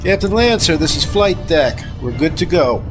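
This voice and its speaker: A man's voice: fairly high pitch, lively intonation, normal speed, close to an everyday voice. A man playing a wry spaceship pilot in a science fiction audio drama, with informal talk and clipped commands. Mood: urgent. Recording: loud music behind the voice.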